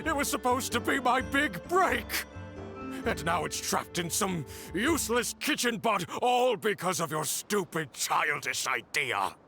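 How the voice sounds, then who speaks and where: A man's voice: sits high, big pitch swings, unhurried, gravelly, sharp tone, faint music behind, exaggerated voice. A man voicing a petulant, desperate tech villain in a video game, whiny, theatrical and scornful. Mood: bitter desperation.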